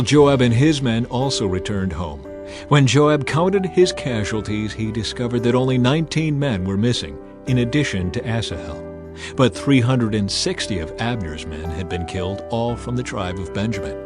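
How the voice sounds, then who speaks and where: A man narrating scripture as a dramatized audiobook, in a measured, solemn storytelling manner. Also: fairly low pitch. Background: music.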